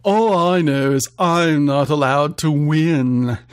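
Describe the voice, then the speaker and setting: Man acting a story's wealthy snob, pompous, disdainful, thick snooty accent, slow drawn-out words, creaky. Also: on the high side, lively intonation. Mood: whining disdain.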